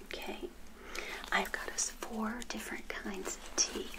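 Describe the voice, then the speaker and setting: An older woman plays a friendly, eager witch hosting a guest in an ASMR roleplay. She speaks in a close, hushed whisper and takes her time. Her manner is gentle and naive, with phrases softly repeated. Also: medium pitch, level tone, measured pace, close to an everyday voice. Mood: welcoming.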